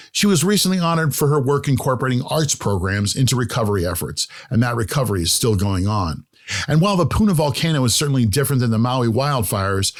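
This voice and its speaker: A man hosting an interview show, reading a scripted intro and then asking questions in a measured, friendly way. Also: medium pitch. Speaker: podcast host.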